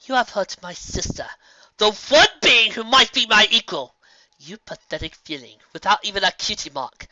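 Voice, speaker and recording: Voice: medium pitch, lively intonation, moderate pace, sharp tone, lo-fi sound, voice pushed up. A reader voicing a proud, grieving alicorn princess who speaks in a commanding, archaic manner. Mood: furious.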